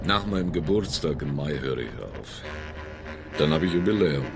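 A middle-aged gangster boss for a radio play, with a rock-bottom, creaky voice. He is deliberate and sardonic, his intonation moves widely, and music plays clearly behind him. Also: very deep voice, expressive. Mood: grim reminiscing. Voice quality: gravelly. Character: menacing middle-aged Russian male crime boss, chillingly matter-of-fact. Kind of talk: radio drama acting.

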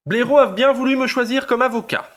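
Man playing a high-voiced young revolutionary lawyer. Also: brisk, a bit bright. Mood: righteous, declamatory. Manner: oratorical, formal. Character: young revolutionary lawyer, earnest, grandiloquent agitator. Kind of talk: audio drama acting.

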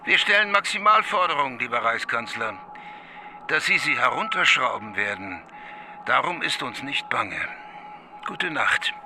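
An actor playing a self-assured high-ranking army commander in a radio drama, speaking in a brisk, clipped manner. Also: male voice, sing-song. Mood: confident. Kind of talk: German radio drama acting. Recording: clear music behind the voice.

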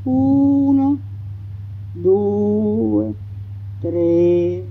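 A woman voicing a defiant male brigand facing execution, who speaks slowly and slyly. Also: medium pitch, animated, slightly rough, full character voice. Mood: calm sly. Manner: slow, unhurried, sly. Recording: dull radio broadcast, faint noise.

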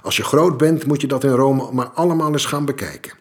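An actor playing a kindly art historian explaining things to a small child in a radio drama. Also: male voice, mid-range voice, fairly quick, soft background music, natural voice. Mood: gentle. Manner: gentle, explanatory.

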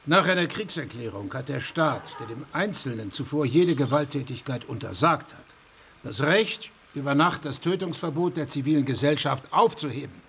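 A gravelly, ironic physician. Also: male voice, mid-range voice, animated, moderate pace, a bit bright, a little hiss or hum. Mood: reasoning. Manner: probing, ironic. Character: man, sardonic elder physician, probing. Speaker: personal physician, state councillor.

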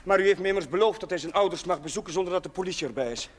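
Earnest, higher-voiced chaplain played by an actor. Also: male voice, lightly acted. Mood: earnest, pleading. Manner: pleading, measured, sincere. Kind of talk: 1970s Dutch television drama acting. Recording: faint noise on old TV audio.

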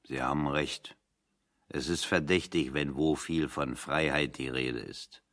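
Wry chatty man in a german radio play, really deep voice, ironic and deliberate. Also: steady tone, fairly bright tone, exaggerated voice. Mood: dry irony. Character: wry, talkative man philosophizing ironically about freedom.

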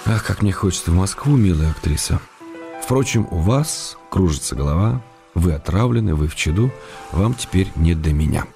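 An actor playing a wry, affectionate middle-aged writer who reads his letters in a measured, gently ironic way for a radio drama. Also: low-pitched, animated, gravelly, soft background music. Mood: earnest, wistful.

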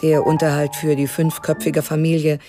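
An actress playing a formal, supportive music teacher reading out a letter in a radio drama, in measured phrases. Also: female voice, low-pitched, flat delivery, close to an everyday voice. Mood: formal, sober. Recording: prominent music under the voice.

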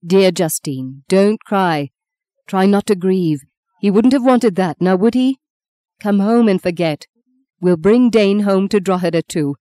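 A woman narrator plays a steadfast mother in a storybook drama, holding grief under firm control. The tone is mellow and soft-edged, stern yet tender, recorded very dry. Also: fairly low pitch, measured pace, no room sound, natural voice. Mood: consoling, firm. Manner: controlled, firm, gentle, unfaltering. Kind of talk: dramatic audiobook character voice.

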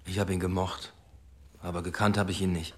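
A man's voice: sits low, little pitch movement, fairly quick, close to an everyday voice. A man acting in a radio drama as a troubled, conscientious police detective, plain-spoken and restrained. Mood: guilty, sombre.

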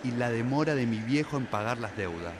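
Traffic noise surrounds an Argentine man's voice at an average pitch. He is a man acting a grieving, obsessive son in a radio play, insistent and suspicious as he reasons aloud. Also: animated, a little fast, close to an everyday voice. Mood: suspicious, theorizing. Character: grieving, suspicious son obsessively investigating his father's death. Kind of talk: Spanish-language radio drama acting. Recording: clear street and traffic noise.

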